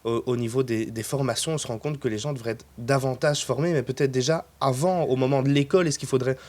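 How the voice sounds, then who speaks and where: A man's voice: medium pitch, steady tone, fast talker, a little mellow. A man hosting an interview, asking long questions in several parts with some hesitation. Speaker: interviewer, host.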